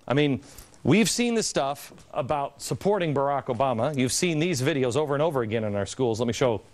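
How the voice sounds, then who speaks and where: A man's voice: mid-range voice, animated, fairly quick. A man in his forties hosting an opinion TV show in a large studio, talking in an indignant, sarcastic, theatrical way. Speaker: TV host. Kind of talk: opinion TV commentary. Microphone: studio mic, standing at camera.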